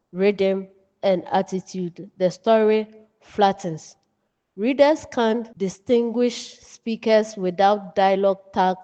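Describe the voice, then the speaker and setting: Ghanaian lady teacher, slow n measured lecture, muffled sound w lots of reverb. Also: mid-range voice, warm tone. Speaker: writing teacher. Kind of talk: instructional lecture from notes. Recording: dull, muffled, long room reverb.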